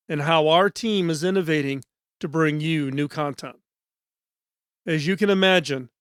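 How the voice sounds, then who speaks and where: A middle-aged man hosting a podcast in a studio. He talks in an earnest, measured, scripted way, and his voice is hoarse. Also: fairly high pitch, dry recording. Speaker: podcast host.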